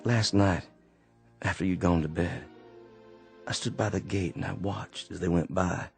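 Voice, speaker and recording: A tired Confederate sergeant from the American South in an old-time radio play. His voice is deep and gravelly, and his halting, earnest words often repeat as he works through his thoughts, while soft music plays underneath. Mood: musing. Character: weary Confederate sergeant, a quiet and resolved drifter. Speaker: radio drama actor. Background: faint underscore music.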